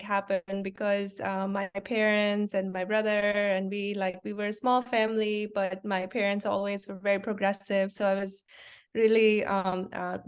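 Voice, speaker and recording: A woman's voice: mid-range voice, smooth. Chatty woman founder from India. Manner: explains things simply with many fillers and restarts. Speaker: founder of a food company. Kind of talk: conversational interview.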